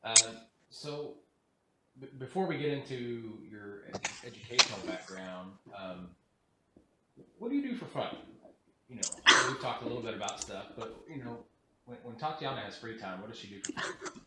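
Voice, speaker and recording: A man hosting an interview series over a video call, asking friendly questions in a halting way, full of fillers. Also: mid-range voice, expressive. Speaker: interview host. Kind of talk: casual interview. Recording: very echoey room with noise.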